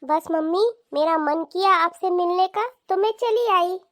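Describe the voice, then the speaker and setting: An earnest young married woman from a cartoon family drama , acted by a woman. Her voice is extremely high and girlish in a dry recording, and she speaks in a caring, pleading way. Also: a little slow, no room sound. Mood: friendly. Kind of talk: animated family drama dialogue.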